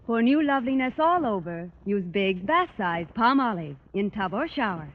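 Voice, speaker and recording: A woman's voice: mid-range voice, sing-song, moderate pace. A woman announcer reading a scripted 1940s radio advertisement. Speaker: commercial announcer. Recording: thin, narrow-band vintage radio recording.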